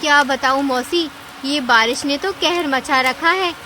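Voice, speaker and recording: A woman's voice: high voice, unhurried, background noise. A woman playing a clever, sympathetic female bird in a cartoon story, talking in a chatty, dramatic, coaxing way. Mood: chatty, pleading. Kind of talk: Hindi cartoon story acting.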